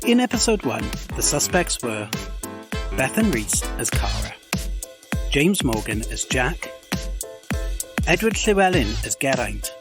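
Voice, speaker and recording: A man announces scripted podcast credits. His voice is of middling pitch and varies in a lively way, with music clearly playing behind. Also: unhurried, pauses often.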